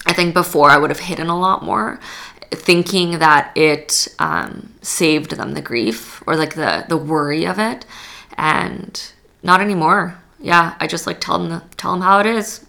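Chatty woman with a gravelly low voice. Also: unhurried, pushed and bright. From Poland. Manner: chatty, full of "like" and restarts. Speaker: guest. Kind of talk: casual interview chat.

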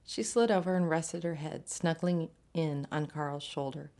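A woman reading a literary short story aloud in a measured, scripted narration. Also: low voice, steady tone, darkish tone. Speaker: story reader.

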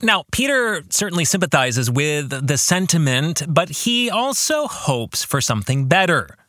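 A man's voice: a little high, very animated, a little slow, bright, forceful tone, dry recording, clean studio sound. A man hosting a podcast, giving reflective commentary on his book and putting earnest questions straight to the listener. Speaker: podcast host and author discussing his book.

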